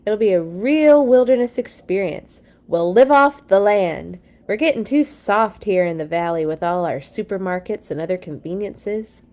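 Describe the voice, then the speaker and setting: A woman's voice: mid-range voice, expressive, moderate pace, hardly any pauses, a little mellow, lightly acted. Lady doing a storybook dad bear, super keen n sure of himself, kinda boastful and grand. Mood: eager. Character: enthusiastic, confident father bear in a picture book.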